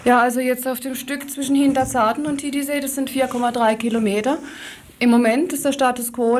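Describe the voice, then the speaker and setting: A woman's voice: on the high side, a little hiss or hum. A woman giving unscripted explanatory answers as an activist interview guest, hesitating as she searches for words.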